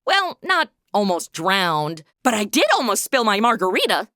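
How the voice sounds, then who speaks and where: A woman's voice: medium pitch, very animated, moderate pace, bright, forceful tone, dry recording. A woman playing a flippant, chatty, clueless apprentice angel in an audio comedy, talking in casual slang. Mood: breezy, self-absorbed.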